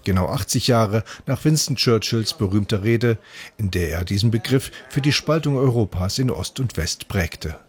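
A man's voice: lowish voice, expressive, moderate pace, breathy edge. A man narrating a scripted radio report as the reporter, in composed full sentences.

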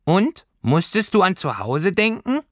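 Casting: a small plaintive storybook critter, high tiny voice, swooping intonation, childlike and curious. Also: male voice, big pitch swings, unhurried, a bit bright, no room sound, clean studio sound, exaggerated voice. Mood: gently advising. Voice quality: tiny little voice. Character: small furry homesickness creature, sad, plaintive, childlike. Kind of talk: storybook character voice.

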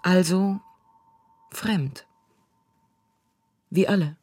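A woman giving a measured, deliberate reading of a literary text. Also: lowish voice, warm tone, soft background music.

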